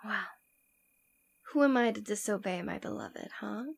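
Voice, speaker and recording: A woman's voice: medium pitch, unhurried, creaky, breathy edge. A woman playing a devoted, teasing demon queen in an ASMR roleplay, speaking intimately and tenderly, often dropping toward a whisper. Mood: playful, teasing. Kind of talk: ASMR roleplay audio drama.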